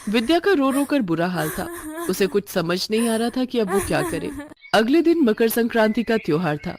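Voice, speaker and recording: A woman narrates a scripted moral story as a storyteller. Her pitch is medium and the sound is dry, with no room around it. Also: darkish tone, dry recording.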